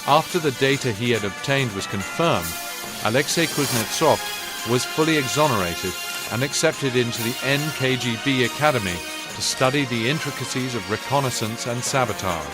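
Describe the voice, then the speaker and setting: A man giving a measured, formal scripted narration of wartime history for a video game, in a solemn storytelling manner. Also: mid-range voice, animated, close to an everyday voice. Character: solemn male narrator of a Second World War history. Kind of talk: scripted documentary-style war narration. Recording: loud music under the voice. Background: loud music.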